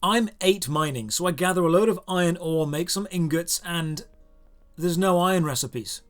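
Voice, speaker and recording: A man's voice: high-pitched, normal speed, faint music behind. A man narrating a scripted video game review in a dry, sarcastic, exasperated manner.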